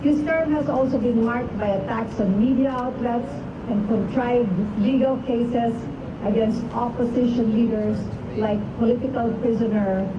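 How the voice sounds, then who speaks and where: An older woman reading a protest rally speech as a group's spokesperson, deliberate and declamatory. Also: on the high side, muffled recording. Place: outdoors on a city street outside a hotel. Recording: roomy, distant mic, loud street traffic noise.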